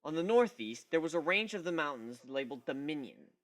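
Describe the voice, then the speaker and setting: A man's voice: on the high side, animated, brisk, fairly bright tone. A man giving a sarcastic, theatrical first-person dramatic reading of a story. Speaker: narrator.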